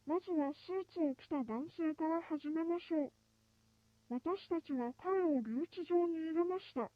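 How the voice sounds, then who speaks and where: Found-tape horror: actress as formal female scientist, high register, dry, dull low-fidelity recording, scripted report. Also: a little fast, no room sound. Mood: formal, detached. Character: formal female researcher reporting on a captured monster. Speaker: narrator of a found research tape.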